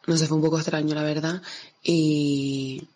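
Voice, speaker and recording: A woman's voice: low voice, flat delivery, moderate pace, mellow. A woman with a Canarian accent giving a reflective personal account in a thoughtful, hesitant manner. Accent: Canarian Spanish. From Canary Islands, Spain. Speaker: guest giving a recorded testimony.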